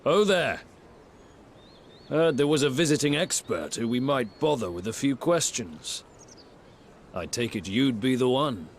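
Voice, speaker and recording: Genial mid-pitched fatherly scholar. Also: animated, unhurried, long pauses, slight background noise. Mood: affable. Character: genial middle-aged father, eminent fantasy researcher. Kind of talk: scripted video game acting.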